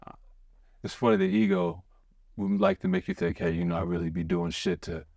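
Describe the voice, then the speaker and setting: A man hosting a podcast in an excitable, rambling way, full of restarts and fillers. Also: deep voice, a little fast, dry recording. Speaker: podcast host. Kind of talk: casual chat.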